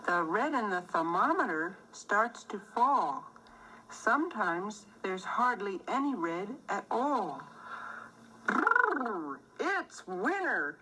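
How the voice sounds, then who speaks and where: A woman reading a rhyming children's storybook aloud, phrasing it deliberately and clearly as scripted narration. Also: mid-range voice, sing-song, sharp tone, a little hiss or hum, poor audio. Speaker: storybook reader.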